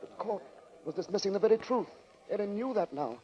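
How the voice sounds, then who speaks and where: A man's voice: sits high, expressive, fairly quick, muffled recording. A man narrating a radio suspense drama in a grave, theatrical 1940s style.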